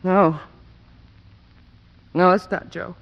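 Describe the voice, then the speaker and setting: An actress playing a defiant, distraught working-class wife in an old radio drama, emphatic and stubborn in plain, uneducated speech. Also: female voice, fairly low pitch, fairly even intonation, very slow. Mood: distraught denial. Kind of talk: 1940s-50s radio drama acting. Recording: muffled, noisy vintage radio recording.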